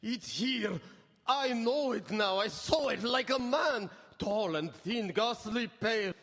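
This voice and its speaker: An actor playing a terrified, half-mad foreign sailor in a live radio play, with a husky voice and halting, dramatic broken English. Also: male voice, very high voice, fairly bright tone, full character voice. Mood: haunted. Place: town hall stage. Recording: echoey live hall with audience.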